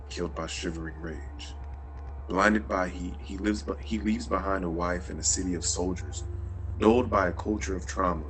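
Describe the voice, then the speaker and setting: A very deep-voiced jaded noir journalist read by a man at a table read, brooding and sardonic. Faint music plays underneath. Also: a bit bright, low-quality recording. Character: jaded cynical male journalist, adult.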